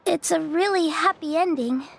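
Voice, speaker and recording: A woman voicing a frail, polite little girl in an anime video game, gentle and earnest. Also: very high-pitched, moderate pace, gravelly, a little hiss or hum. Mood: hesitant.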